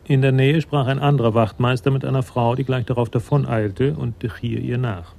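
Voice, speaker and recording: An actor serving as the composed narrator of a German radio crime drama, reading scripted prose. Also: male voice, mid-range voice, steady tone, a little fast, creaky, darkish tone, close to an everyday voice. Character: composed storyteller of a police tale.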